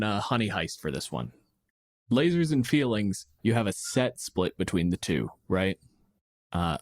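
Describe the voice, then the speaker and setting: Guy podcast host, lowish voice, dry close sound, wry and sweary, explains stuff. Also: dry recording. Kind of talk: casual podcast chat.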